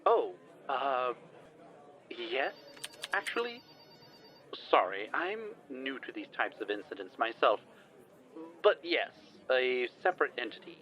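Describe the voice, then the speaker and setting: A man's voice: mid-range voice, sing-song, slow, dull audio. An actor playing a flustered, formal older male scientist in an audio drama, speaking wordily with nervous hesitations. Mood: awkward, formal.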